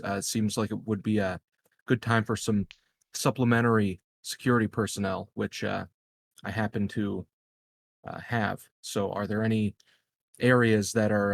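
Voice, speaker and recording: A man playing a tabletop game, improvising dialogue casually in a hesitant, measured way. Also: on the low side, creaky, no room sound, clean studio sound.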